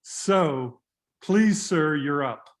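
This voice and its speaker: A man hosting an online lecture, introducing the guest in a measured way, with frequent uh fillers. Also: a little high, lively intonation. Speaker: host introducing a speaker. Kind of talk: formal introduction. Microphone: video call.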